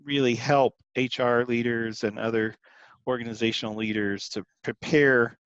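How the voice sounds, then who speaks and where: A man's voice: mid-range voice, steady tone, moderate pace, a bit bright, clean tone, no room sound. Male webinar host, courteous and encouraging; dry, dull call sound. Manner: polite, encouraging questions. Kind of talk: webinar discussion.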